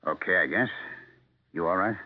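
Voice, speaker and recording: A man's voice: low-pitched, moderate pace, breathy edge. An actor playing a brooding, suspicious businessman in a 1970s radio mystery. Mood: subdued, uneasy. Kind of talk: 1970s radio drama acting. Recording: narrowband vintage radio recording.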